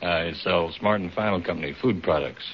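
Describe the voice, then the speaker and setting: A man's voice: low-pitched, normal speed. A polite young man from Louisiana answering a host's questions as a quiz contestant on an old radio recording. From Louisiana, USA. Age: young adult. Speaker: quiz show contestant, salesman. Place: studio stage before an audience. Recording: muffled, slightly noisy old radio recording.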